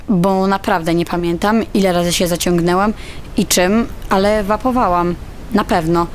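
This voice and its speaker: A woman's voice: mid-range voice, slight background noise, natural voice. A young actress playing an insecure teenage girl who narrates her inner thoughts as a confession in an audio drama. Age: teens to young adult. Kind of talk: introspective first-person dramatic narration. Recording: music under the voice.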